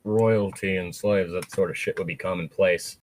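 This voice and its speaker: A man's voice: deep voice, steady tone, moderate pace, slight background noise. A man reading fan fiction aloud casually and breaking in with sarcastic, crude wisecracks. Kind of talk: casual read-aloud with joking commentary.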